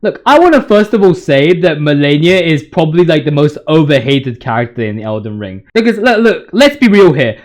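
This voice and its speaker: Young male gaming YouTuber, high voice, informal and excitable, rambles in heavy slang and exaggeration. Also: fairly quick, few pauses. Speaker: video creator, commentator. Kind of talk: informal video game commentary.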